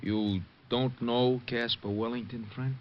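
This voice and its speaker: An actor playing a menacing, slangy New gangster in an old-time radio crime drama. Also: male voice, mid-range voice, fairly even intonation, unhurried, natural voice. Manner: slangy, tough, sarcastic. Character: menacing gangster thug with a gun. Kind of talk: 1940s radio acting. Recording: dull, noisy old radio recording.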